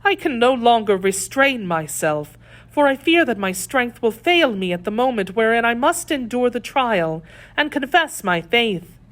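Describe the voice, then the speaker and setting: Woman acting a melodramatic, high-pitched pleading noblewoman. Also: sing-song, unhurried, a bit bright. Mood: desperate pleading. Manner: melodramatic, imploring. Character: scheming noblewoman prisoner feigning pious despair. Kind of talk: dramatic audiobook character reading.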